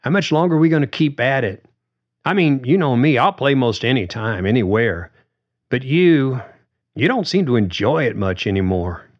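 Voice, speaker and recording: A man's voice: mid-range voice, animated, normal speed, voice pushed up, close to an everyday voice. A man voicing a blunt, carefree male bandmate who drinks and swears freely, in a needling, riled-up way. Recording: dull lo-fi recording.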